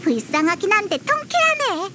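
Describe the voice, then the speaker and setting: Tiny high-pitched feisty fairy sidekick. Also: female voice, sing-song, normal speed, heavy background noise. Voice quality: childlike, squeaky. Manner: exclamatory, eager, blunt. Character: small perky childlike floating fairy companion, feisty and outspoken. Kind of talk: Korean anime-style game voice acting. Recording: music behind the voice.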